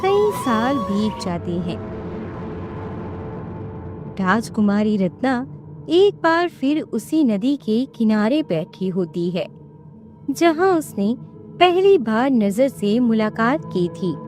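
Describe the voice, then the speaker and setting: A woman's voice: a little high, sing-song, takes it slowly, long pauses, over music. A woman reading scripted, dramatic fairy-tale narration as a storyteller.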